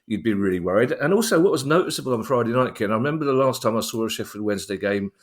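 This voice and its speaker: A man's voice: medium pitch, lively intonation, rapid-fire. A man hosting a podcast in casual chat, with a wry, wordy, self-deprecating manner. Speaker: podcast host.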